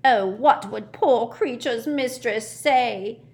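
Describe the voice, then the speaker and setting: A woman voicing a sullen old male house elf, croaking and grudgingly servile, speaking in the third person. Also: fairly high pitch, slow pace, darkish tone, voice pushed up. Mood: spiteful. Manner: muttering insults, third person.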